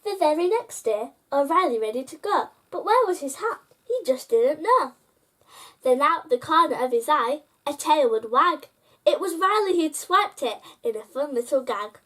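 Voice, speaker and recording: Woman, high voice, children's storyteller, bedtime reading, speaks directly to young listeners. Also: expressive, moderate pace, fairly bright tone. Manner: addresses child listeners with prompting questions. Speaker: host reading children's picture books aloud.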